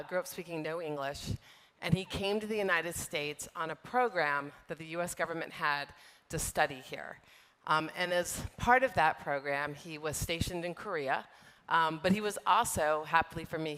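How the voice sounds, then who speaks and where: A middle-aged woman answering interview questions on a conference stage, measured, with many fillers and restarts. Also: on the low side, fairly bright tone. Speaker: software trade group executive, guest. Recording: long reverb of a large hall.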